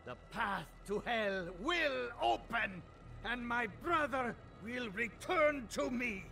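A scornful, fanatical fantasy game villain. His gravelly voice is strikingly high, theatrical and widely swinging, drawn out slowly over music. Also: animated, sharp tone, exaggerated voice. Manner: theatrical, sneering. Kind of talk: fantasy video game voice acting.

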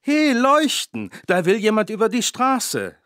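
An actor voicing an eager talking pedestrian button in a children's game. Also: male voice, high-pitched, wildly swooping pitch, unhurried, fairly bright tone, no echo, clean studio sound, exaggerated voice.

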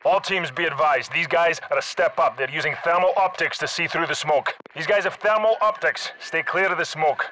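A man's voice: on the high side, moderate pace, few pauses, bright, forceful tone, slight background noise, low-quality recording. A man playing a battle-hardened Scottish special forces squad leader in a video game, giving terse, urgent military orders. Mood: brisk, commanding.

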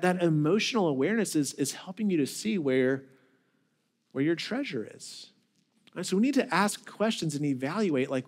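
Man, 30s, pastor, conversational sermon, repeats words, slightly high voice, large hall with audience, headset mic. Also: a little mellow.

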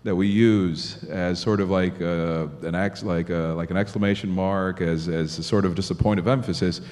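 Miami-raised man on a panel, lowish voice with big hall reverb, animated and restarting often. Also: moderate pace. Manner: animated, thinking aloud with many restarts. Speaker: panelist journalist. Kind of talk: panel discussion answer. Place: large conference hall. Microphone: table mic on stand.

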